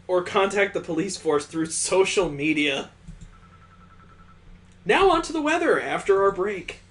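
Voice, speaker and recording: Man putting on a higher-pitched TV news anchor. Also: animated, a little slow, a bit bright. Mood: official. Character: theatrical TV news anchor reporting disappearances.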